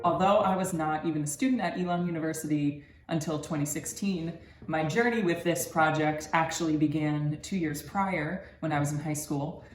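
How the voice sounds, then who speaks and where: A man's voice: a little high, normal speed, hardly any pauses, faint music behind. A man in his early twenties giving a scripted research presentation as a student presenter. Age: early 20s. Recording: roomy echo with background noise.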